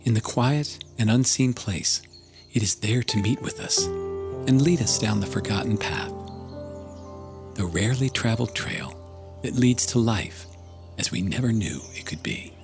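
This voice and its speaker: A man giving a contemplative scripted reading of prose, solemn and measured, with long pauses. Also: on the low side. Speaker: author reading own book. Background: music.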